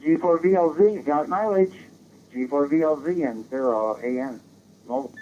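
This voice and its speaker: A man's voice: fairly high pitch, normal speed, a little mellow. Man over a dull radio link. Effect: digital radio transmission. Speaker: amateur radio operator. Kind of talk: casual ham radio chat. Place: in a car. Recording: dull digital radio link, faint noise.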